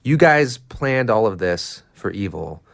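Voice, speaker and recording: A man's voice: fairly low pitch, lively intonation, measured pace, creaky, dry recording, studio quality. A man co-narrating an educational explainer in a plain-spoken, casual storytelling style.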